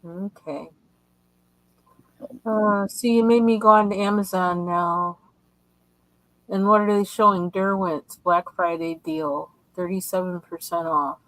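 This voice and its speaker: Guest: woman, mid-pitched, unhurried, close dry sound, easygoing short replies. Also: fairly even intonation, fairly bright tone, no echo, low-quality recording. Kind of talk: casual livestream chat.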